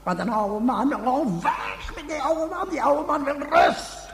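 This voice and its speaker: A woman's voice: sits high, big pitch swings, unhurried, vocal fry, soft background music, exaggerated voice. A woman playing a weary, querulous old hermit guide who rambles and pities themself in a radio drama. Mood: bitter ranting. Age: older. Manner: querulous, rambling, self-pitying. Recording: echoey, noisy radio play recording.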